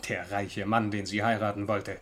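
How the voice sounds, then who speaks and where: A man's voice: on the low side, level tone, fairly bright tone. Probing, cool-headed male investigator. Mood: coolly accusing. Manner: deliberate, probing, coolly deductive. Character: shrewd male investigator confronting suspects, composed and probing. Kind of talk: German radio crime drama acting.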